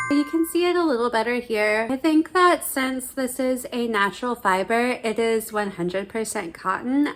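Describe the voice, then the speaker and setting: A woman's voice: a little high, sharp tone. A young woman with a slightly scratchy voice chatting casually while she crochets for her video, rambling with lots of fillers. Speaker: crochet vlogger. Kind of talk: casual chat.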